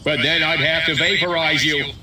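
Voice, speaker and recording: A small-town American mayor with a fairly high voice, glib and pompous. He delivers everything like a hammy stump speech from a comedy video game. Clear background noise sits behind him. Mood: jokey, hammy.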